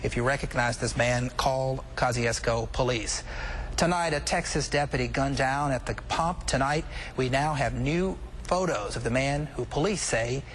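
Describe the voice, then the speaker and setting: Male news anchor, medium pitch. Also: moderate pace, a bit bright. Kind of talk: scripted news reading. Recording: faint background noise.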